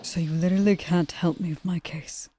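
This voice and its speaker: A woman's voice: on the low side, fairly even intonation, a little fast, noisy background, lightly acted. A woman playing a roguish assassin in an ASMR audio drama, cheeky and dramatic, with a wheedling way of talking. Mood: frustrated. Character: roguish, pleading assassin, the queen's troublesome cousin. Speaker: voice actor in an audio roleplay.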